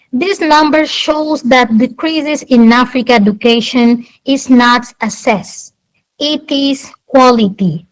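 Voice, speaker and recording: A young Angolan woman debating in English, her second language after Portuguese. Her voice sits fairly high, and she takes her time, setting out facts and figures one by one in an earnest tone. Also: poor audio. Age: young adult. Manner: earnest, reads out statistics point by point. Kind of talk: debate speech.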